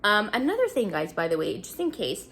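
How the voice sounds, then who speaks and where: A woman's voice: medium pitch, huge pitch swings, fairly quick, a bit bright. A woman around thirty from Montreal, congested and sniffling, chatting casually and rambling with many fillers while doing her makeup. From Montreal, Canada. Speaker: beauty vlogger. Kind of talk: casual get-ready-with-me chat.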